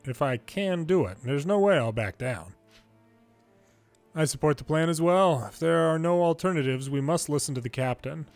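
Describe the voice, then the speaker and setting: A man's voice: fairly high pitch, lively intonation, moderate pace, no room sound. Man reading game dialogue over music. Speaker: video game commentator reading subtitles aloud. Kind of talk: dramatic read-aloud of game dialogue.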